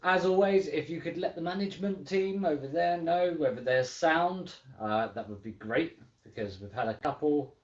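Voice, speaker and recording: A woman hosting a children's story time, chatting casually and reading aloud in a playful, expressive way. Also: sits low, moderate pace, hardly any pauses. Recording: roomy, slightly dull home recording.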